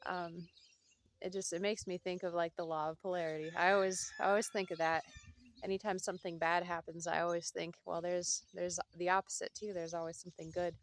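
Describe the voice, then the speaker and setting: Chatty woman vlogging at home, low voice. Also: level tone, a little fast, slight background noise. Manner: rambling, hesitant, with fillers and self-repeats. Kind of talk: casual chat to camera.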